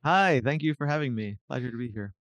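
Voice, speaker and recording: Argentine-born, Miami-raised male composer, rambling and casual, smooth voice, dry close sound. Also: medium pitch, animated, fast talker, fairly bright tone, no room sound. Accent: American, Miami. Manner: rambling, many restarts and likes. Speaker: composer, guest. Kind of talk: casual interview answers.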